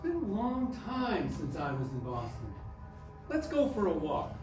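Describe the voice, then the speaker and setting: A man acts out an old grandfather in a staged musical reading, wry and affectionate but evasive. His voice sits high for a man and hedges, doubling back on words. It is picked up from a distance in an echoing room. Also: huge pitch swings, unhurried, darkish tone, exaggerated voice. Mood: gently bossy. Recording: roomy, distant stage recording with piano.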